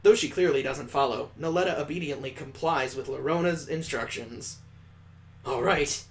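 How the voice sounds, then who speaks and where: A man with a slightly high voice hosts a let's play, reading a visual novel aloud. He drops in playful, sarcastic comments, over some faint background noise. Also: normal speed.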